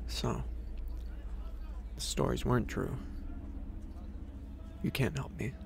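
A man's voice: medium pitch, slow, gravelly, background noise. A man playing an earnest, troubled inventor on the run in a sci-fi audio drama, serious and hesitant. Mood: troubled, wary.

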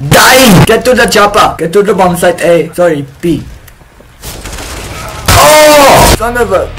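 A young man giving joking commentary over his own gameplay in a put-on, broken-English foreign accent, breaking into loud excited yelps. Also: high-pitched, slow pace, noisy background. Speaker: streamer.

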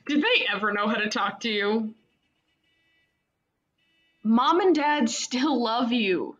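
A woman's voice: a little high, lively intonation, slow pace, fairly bright tone, highs cut off. A woman playing a caring but blunt sister in a radio play, teasing and insistent with her brother. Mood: insistent, supportive.

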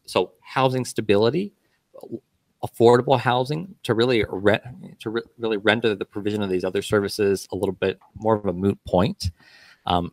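A man with a low voice speaks in a measured, hedging way, filling pauses as he thinks. He sounds close and dry, as a guest on a podcast panel. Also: no echo. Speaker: healthcare guest.

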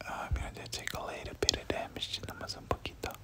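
A person whispering casual, slangy ASMR gameplay commentary, muttering reactions and repeating short phrases. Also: fast. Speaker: gaming video creator.

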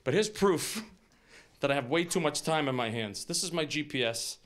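A man in his forties doing animated, incredulous stand-up comedy, often interrupting himself. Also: a little high, moderate pace. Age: 40s. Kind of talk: stand-up comedy before a live audience. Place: small TV studio stage with audience. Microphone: handheld microphone, later on a stand. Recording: live studio audience laughing.

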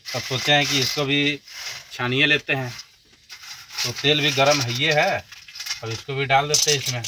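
A man's voice: mid-range voice, fairly even intonation, normal speed, background noise. A man from India narrating a casual trucking and cooking vlog step by step, addressing viewers as friends. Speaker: truck driver vlogger.

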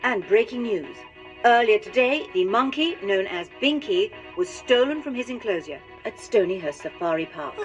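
An earnest newswoman in a cartoon. She announces urgently and formally at a middling pitch, the sound dulled as if through a TV set, with music underneath. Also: animated, normal speed. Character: earnest female television news reader. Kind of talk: cartoon news bulletin. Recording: muffled television sound.

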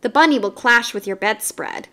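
A woman's voice: fairly high pitch, moderate pace, sharp tone. A woman doing a prim, fussy mother.

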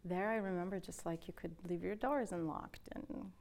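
Chatty woman from Brazil, slightly gravelly voice. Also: lowish voice, normal speed, a little mellow, a little hiss or hum. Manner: chatty storyteller, laughs often. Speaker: interview guest. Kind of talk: casual interview chat. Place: dark television studio.

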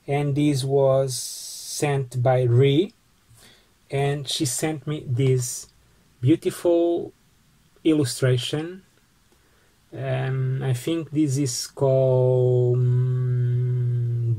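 A man in his forties with a Portuguese accent, showing his postcards on a webcam in a book-lined home study, slow and halting with frequent restarts. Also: mid-range voice, dark tone. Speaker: postcard collecting vlogger. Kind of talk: casual show-and-tell vlog.

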